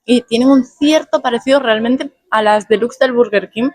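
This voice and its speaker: A young woman with a Spain Spanish accent, half hoarse, chatting casually while reviewing food for her vlog. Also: a little high, a little mellow, no room sound. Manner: chatty, playful, quick asides. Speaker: food vlogger. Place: fast food restaurants and the street outside.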